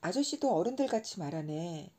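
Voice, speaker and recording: A woman's voice: fairly low pitch, very animated, normal speed, mellow. A woman voicing an earnest, stubborn little boy prince in a storybook reading, with insistent, pleading questions. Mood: indignant.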